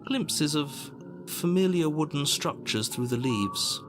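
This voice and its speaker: Exasperated game narrator, wry, scolding, theatrical, animated pitch, music behind. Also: male voice, slow. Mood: ominous narrating. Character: exasperated, sardonic male narrator urging the hero onward.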